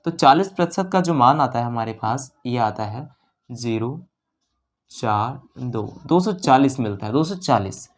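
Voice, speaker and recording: A maths teacher works through exam problems in a mid-pitched voice. The delivery is explanatory and methodical, with each step and figure often said again before moving on. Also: lively intonation, unhurried. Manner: explanatory, repeats figures and steps.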